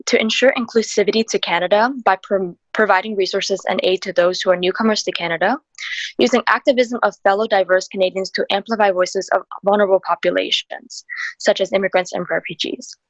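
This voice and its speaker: A young woman raised in Hong Kong reads her presentation steadily and deliberately. Her video-call audio is dull and lo-fi, with a dry, close sound. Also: medium pitch, steady tone, dry recording. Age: young adult. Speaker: youth presenter. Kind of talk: reading a presentation script.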